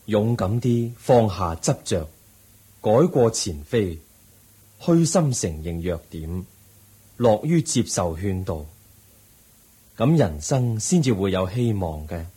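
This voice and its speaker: A man voicing a calm, wise Buddha. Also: lowish voice, slightly rough, heavily acted. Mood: teaching. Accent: Cantonese. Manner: patient, instructive, measured. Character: calm wise male Buddha teaching gently. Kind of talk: 1980s Cantonese radio drama acting.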